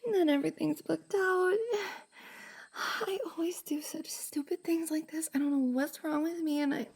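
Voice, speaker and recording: A woman playing a shy, flustered young cosplayer in an intimate roleplay ASMR drama, hesitant and breathy, often sighing and dropping to a whisper. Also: high voice, steady tone, normal speed, a little mellow. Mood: anxious, embarrassed.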